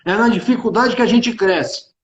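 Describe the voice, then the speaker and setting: High-pitched, emphatic Carioca man. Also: moderate pace. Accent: Carioca Brazilian Portuguese. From Rio de Janeiro, Brazil. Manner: emphatic, repeats phrases, colloquial and opinionated. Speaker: tax consultant and executive speaking on a livestream. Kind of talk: informal live business discussion. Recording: echoey room, dull band-limited livestream audio.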